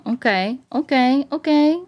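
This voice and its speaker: Slow-paced, playful woman streaming a game. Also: high voice, lo-fi sound. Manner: playful, repeats words and phrases. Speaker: game livestream host. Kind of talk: casual livestream game commentary, chatting to viewers.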